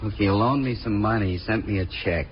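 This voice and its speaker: A man's voice: lowish voice, a little fast, lightly acted. An actor playing a surly, evasive handyman under police questioning in a 1950s radio crime drama, curt, defensive and slangy. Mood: defiant. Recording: muffled old 1950s radio recording, faint noise.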